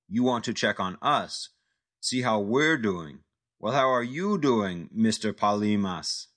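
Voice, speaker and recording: A man voicing a hot-tempered male gangster who talks in a slow, mocking way. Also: lowish voice, lively intonation, a bit bright, exaggerated voice.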